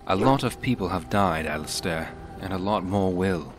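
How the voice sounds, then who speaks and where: Guy playing a calm friendly game hero, lowish voice, understated, bit of music behind. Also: normal speed, lightly acted. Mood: sober. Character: steady, friendly male fantasy hero. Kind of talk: video game voice acting.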